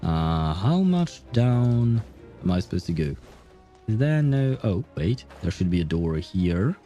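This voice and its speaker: Brief: young guy streaming a game, mellow dark tone, loose chatty commentary with laughs, faint music behind. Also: low-pitched, big pitch swings, measured pace. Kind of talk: casual gameplay commentary.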